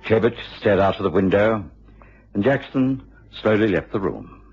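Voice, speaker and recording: An actor playing a sober companion who narrates a detective tale in scripted radio drama. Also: male voice, on the low side, animated, normal speed, a little hiss or hum. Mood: sober, reflective. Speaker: narrator of the story. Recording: dull radio broadcast recording. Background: music.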